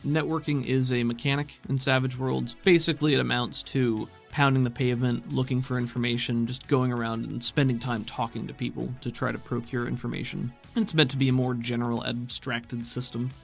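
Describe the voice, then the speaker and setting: Gravelly man running a tabletop game. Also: mid-range voice, flat delivery, a little fast, hardly any pauses, soft background music. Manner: conversational, explanatory, casual swearing. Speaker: game master. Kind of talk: explaining rules and narrating a tabletop game.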